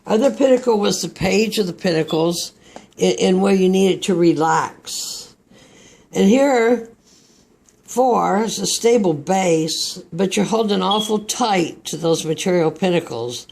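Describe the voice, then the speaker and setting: Slow, gravelly Texan man reading tarot. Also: sits high, a little mellow. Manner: slow, meandering, reflective delivery. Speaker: tarot reader.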